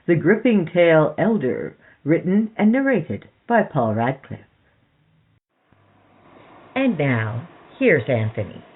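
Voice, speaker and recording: A podcast host giving scripted horror narration in a theatrical, measured storytelling manner. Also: medium pitch, breathy edge. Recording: muffled lo-fi sound under music. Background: background music.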